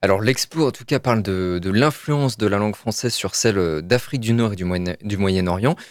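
A man hosting a radio interview, asking questions in a relaxed way with frequent murmured acknowledgements. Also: lowish voice, a little fast, no room sound, clean studio sound. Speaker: radio host.

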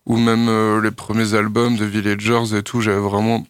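A young man from France, a singer-songwriter, answering radio interview questions in a thoughtful, hesitant way with many pauses and fillers. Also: on the low side, completely flat delivery, smooth, no echo, studio quality.

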